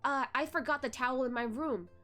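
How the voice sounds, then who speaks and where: A woman's voice: high-pitched, brisk, sharp tone, faint music behind. A woman voicing a playful, clingy young male disciple who wheedles and pleads in a light, teasing way. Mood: wheedling.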